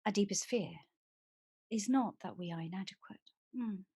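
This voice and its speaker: Woman as a calm, protective inner voice: slow, husky and soothing. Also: medium pitch, no room sound, studio quality. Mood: hushed recital. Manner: soft, soothing, confiding. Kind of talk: solo stage monologue.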